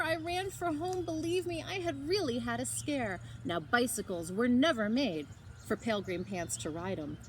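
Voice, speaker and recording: A woman reads a storybook aloud in a high voice that swings widely in pitch. She leans into dramatic emphasis and stretches out key words for young listeners. The recording carries faint background noise. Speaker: librarian reading aloud to children. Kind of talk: expressive storybook read-aloud.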